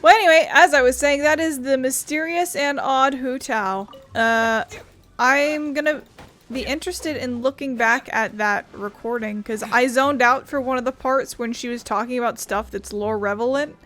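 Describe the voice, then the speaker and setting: A woman's voice: sits high, normal speed, a bit bright. A woman giving casual, chatty commentary as she plays through a video game. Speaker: gaming video creator.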